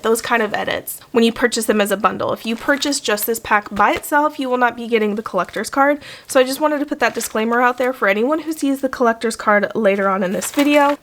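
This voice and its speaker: A woman vlogger with a slightly croaky voice from being sick, chatting enthusiastically through a product unboxing. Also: on the high side, slight background noise. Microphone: desk microphone.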